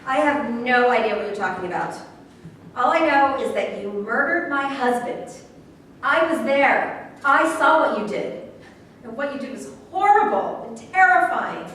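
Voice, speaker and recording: A woman's voice: sits high, lively intonation, unhurried, fairly bright tone. A woman in a staged play reading, playing an angry, wounded widow with an indignant, accusing manner. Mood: angry, hurt. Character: angry, wounded widow confronting husband's killer. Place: echoey room at a staged reading, far microphone. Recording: very echoey room, distant mic, faint noise.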